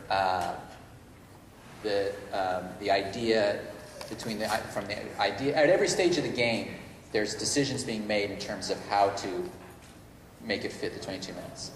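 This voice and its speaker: A man answering as a TV writer on a convention panel, thinking aloud in a halting way with many restarts. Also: mid-range voice. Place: large convention panel room. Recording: echoey hall with noise.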